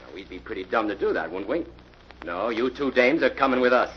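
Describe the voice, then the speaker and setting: An actor playing a coolly threatening, tough-talking, sneering gangster boss in an old radio crime drama. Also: male voice, mid-range voice, animated, a little fast, husky. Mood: cold, sneering. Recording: dull, noisy old-time radio recording.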